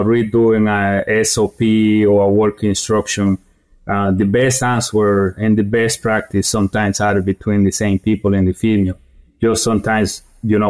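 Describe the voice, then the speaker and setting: A middle-aged man from Guatemala, answering interview questions as a guest in a halting way with many fillers and restarts. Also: on the low side, a little mellow. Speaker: podcast guest, feed industry director.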